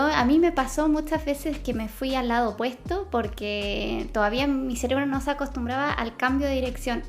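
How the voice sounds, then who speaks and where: A woman with a Chilean accent explaining brain science in a casual vlog, chatty and full of fillers and restarts. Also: a little high. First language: Spanish. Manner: chatty, many fillers and self-corrections. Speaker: science vlogger.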